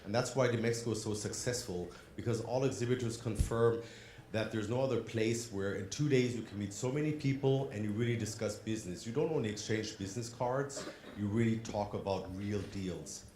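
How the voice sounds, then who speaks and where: A man's voice: fairly low pitch, brisk, a little mellow, echoey room, a little hiss or hum. A man from Germany with a slight German-tinged accent in his English, giving an upbeat conference presentation and putting questions to the audience. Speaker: conference speaker. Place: conference hall.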